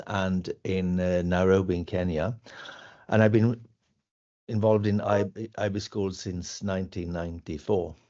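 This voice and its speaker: A man's voice: lowish voice, warm tone. A middle-aged man with a Swedish accent, a school principal introducing himself briefly and matter-of-factly over a video call. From Sweden. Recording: dull lo-fi video-call audio.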